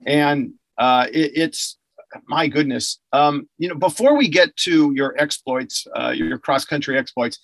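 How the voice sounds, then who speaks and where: A man's voice: a little high, animated, measured pace, fairly bright tone, no echo, poor audio. A man hosting an interview, chatty and enthusiastic, with many fillers and restarts. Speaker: podcast host interviewing athlete and coach. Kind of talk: casual interview.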